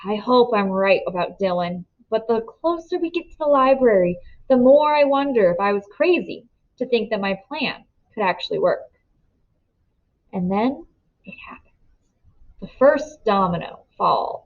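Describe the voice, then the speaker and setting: A woman narrating a children's novel aloud in an expressive storytelling style. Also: medium pitch, normal speed, phone-quality sound.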